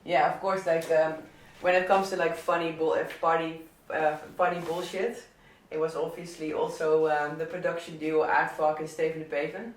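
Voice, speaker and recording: A man with a southern Dutch accent talking casually about favourite records, halting often with fillers and restarts. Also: fairly high pitch, little pitch movement, a little hiss or hum. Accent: Dutch, southern Brabant. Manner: hesitant, many fillers and restarts. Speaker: DJ and record selector. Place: indoor listening session. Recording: echoey room, mic at a distance.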